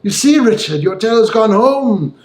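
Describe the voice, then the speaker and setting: A man acts out a grave, courteous lawyer gentleman for an audiobook. The voice is lifted high, formal and reserved, with a probing curiosity. The sound is noticeably roomy. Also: expressive, moderate pace. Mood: earnest, solemn. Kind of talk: audiobook character voice.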